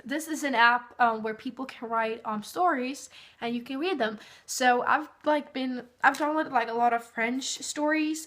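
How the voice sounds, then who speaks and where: A woman's voice: a little high, fairly bright tone. A young woman from Norway, a native Norwegian speaker, giving casual tips in a chatty vlog full of fillers. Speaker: vlogger.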